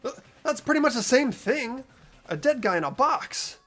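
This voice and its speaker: A man voicing an earnest, easily startled teenage schoolboy in an amateur game dub, stammering and expressive. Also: extremely high pitch, moderate pace. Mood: startled, disbelieving. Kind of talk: amateur voice acting in a visual novel playthrough.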